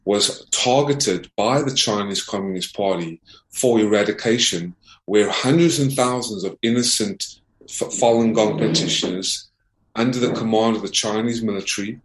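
A man from South Africa speaking as an investigative reporter and interview guest, earnestly presenting in a formal, emphatic way and often repeating himself. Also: fairly low pitch. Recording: roomy, echoing voice.

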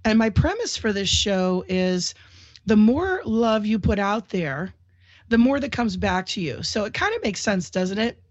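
A woman's voice: mid-range voice, no room sound. Cheery lady host talking solo with a bit of a cold, muffled dry audio. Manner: upbeat, chatty, encouraging. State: has a cold, clears throat often. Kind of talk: solo talk show monologue.

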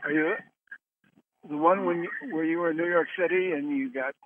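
A man hosting a conference call in a casual, rambling way, pausing often mid-sentence, heard over the telephone. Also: fairly high pitch. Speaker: host of a conference call show. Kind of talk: casual, rambling talk to callers. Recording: narrow phone line with background noise.

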